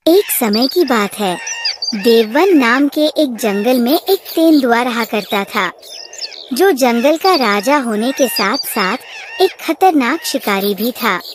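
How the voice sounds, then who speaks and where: A woman's voice: on the high side, lively intonation, slow, background noise. A woman narrating a scripted moral story for a cartoon.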